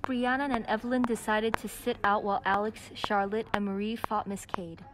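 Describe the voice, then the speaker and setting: A woman's voice: mid-range voice, flat delivery, moderate pace, close to an everyday voice. A teenage girl narrating an amateur audio drama in a scripted storytelling style. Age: young teenager. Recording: noisy amateur student recording.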